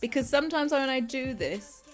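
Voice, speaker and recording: A woman's voice: high-pitched, expressive, normal speed, soft background music. A young British woman chatting casually with her livestream viewers. She rambles, laughs often and sometimes loses her train of thought. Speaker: streamer.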